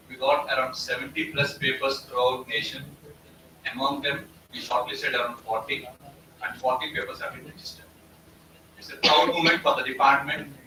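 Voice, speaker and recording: Man, department head, energetic address, very bright tone, echoey distant hall. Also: medium pitch, a little hiss or hum. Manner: energetic, rallying the audience. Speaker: vice principal and head of department. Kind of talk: formal welcome address. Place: auditorium, heard from a distance. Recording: echoey hall audio from far off.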